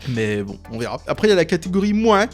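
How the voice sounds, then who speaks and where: Higher-voiced young man hosting casually over music. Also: sing-song, quick pace. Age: late 20s. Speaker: YouTube host. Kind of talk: casual chat presenting a tier list.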